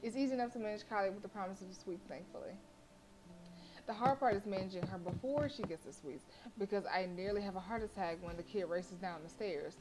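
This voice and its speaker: A woman's voice: medium pitch, brisk, rough recording. A woman game streamer reading story text aloud expressively, with playful casual asides. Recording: faint game music behind. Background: game music.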